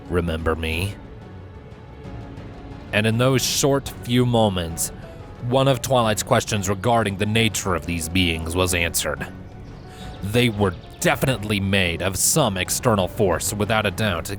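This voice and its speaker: A man reading scripted fan fiction as a narrator, with measured, dramatic storytelling. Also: lowish voice. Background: background music.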